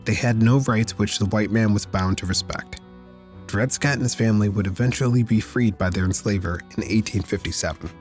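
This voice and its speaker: Casting: male podcast host, lowish voice, raspy and squeaky after illness, steady factual reads, faint music under. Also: fairly quick. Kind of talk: read history segments and casual sign-off.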